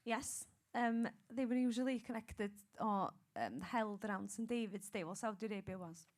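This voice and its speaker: A woman's voice: mid-range voice, expressive, moderate pace. A woman in her forties with a Welsh accent, a singer speaking as a panel guest, earnest and reflective, with light laughter. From Wales. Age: 40s. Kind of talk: panel discussion.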